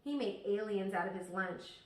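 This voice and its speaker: A woman teacher reading a children's story aloud expressively, pausing to ask her listeners questions. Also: mid-range voice, darkish tone. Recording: echoey room, distant microphone.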